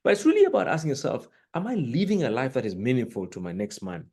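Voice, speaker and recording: A man from northern Uganda speaks in an average-pitched voice as a podcast guest, reflecting earnestly on his life and values. He leans hard on certain words and fills his pauses with "uh" and "um", speaking over a video call from a room lined with books. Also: huge pitch swings, darkish tone, husky. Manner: earnest, emphatic, with many fillers. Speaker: interview guest, corporate executive. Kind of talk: reflective conversational interview. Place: room with books behind him.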